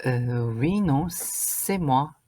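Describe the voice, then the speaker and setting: Flustered, bossy fairy-tale parent played by a woman: deep husky voice, stammering, radio drama. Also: animated, extremely slow, dark tone, full character voice. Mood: awkward. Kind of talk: stage-like radio play acting.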